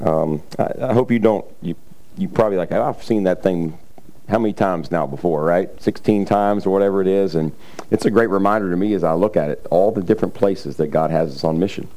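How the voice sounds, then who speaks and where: A middle-aged man preaching a sermon, a little raspy, talking in a loose conversational way with frequent fillers and throat clearing. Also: low-pitched, brisk, dark tone, poor audio. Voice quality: slightly raspy from cheering. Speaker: pastor. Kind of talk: sermon with scripture reading.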